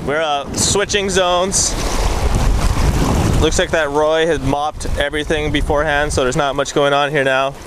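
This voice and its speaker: A man's voice: on the high side, fairly even intonation, normal speed. Young guy, fishing vlogger, easygoing slang, heavy wind and surf roaring over the mic. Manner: laid-back surfer slang. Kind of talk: casual on-camera narration. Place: rocky shoreline outdoors. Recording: loud wind and surf noise.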